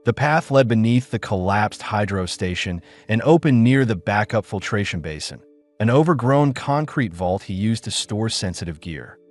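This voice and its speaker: A man narrating a science fiction audiobook in an expressive, dramatic way. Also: on the low side, normal speed. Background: faint music.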